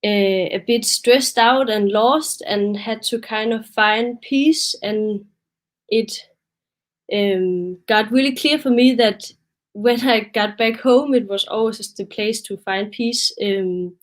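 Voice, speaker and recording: Slow, hesitant Danish woman. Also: medium pitch. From Denmark. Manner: hesitant, many fillers, a bit nervous. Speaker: photographer presenting her project. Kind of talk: webinar presentation. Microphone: video call.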